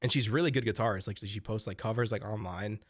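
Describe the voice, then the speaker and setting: A man's voice: lowish voice, quick pace, dry recording, clean studio sound. A young man co-hosting a podcast, chatting casually with lots of fillers and swearing as he rambles. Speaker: podcast co-host. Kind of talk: casual chat.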